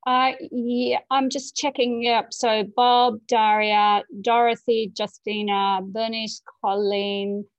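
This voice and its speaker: A woman co-hosting an online session over a video call, hesitant and filling pauses with ums while she manages the group. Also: a little high, level tone, no room sound. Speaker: co-host managing breakout rooms. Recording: dull lo-fi call audio.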